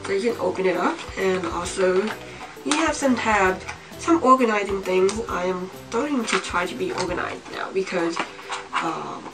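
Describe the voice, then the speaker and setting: A young woman's voice comes from a little way off the microphone, with music clearly playing behind it. She has a slight cold and talks in a casual show-and-tell way, at a middling pitch. She often halts and thinks aloud as she goes. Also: moderate pace. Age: young adult, about 18. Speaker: vlogger. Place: small home room, indoors. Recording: distant mic, music behind.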